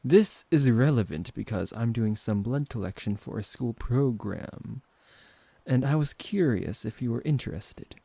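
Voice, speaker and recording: A man acting out a smooth-talking, possessive vampire professor in an intimate ASMR roleplay, politely condescending and teasing, close to the microphone. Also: mid-range voice, expressive, normal speed, dark tone, dry recording. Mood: persuasive, polite. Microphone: close microphone, dry.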